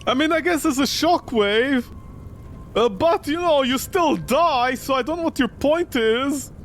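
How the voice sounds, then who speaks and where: A man's voice: extremely high pitch, lively intonation, a little slow, fairly bright tone, soft background music. A man giving sarcastic, nitpicking gameplay commentary as he streams a game. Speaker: streamer playing and commenting on a horror visual novel. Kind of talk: gameplay commentary mixed with reading dialogue aloud.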